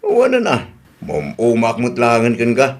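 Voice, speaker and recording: Guy acting stubborn indignant husband, ilocano radio drama, medium pitch, ilocano accent. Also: sing-song, moderate pace, close to an everyday voice.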